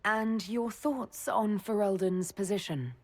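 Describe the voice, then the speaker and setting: An actress playing a tactful, steady leader of a military order in a fantasy game, polite and measured. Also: female voice, mid-range voice. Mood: diplomatic. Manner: polite, even-tempered, measured.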